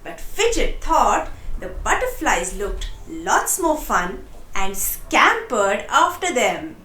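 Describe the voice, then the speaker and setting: A woman narrating a children's storybook in a lively, expressive read-aloud style, addressing young listeners directly. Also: on the high side, takes it slowly, roomy sound, soft background music.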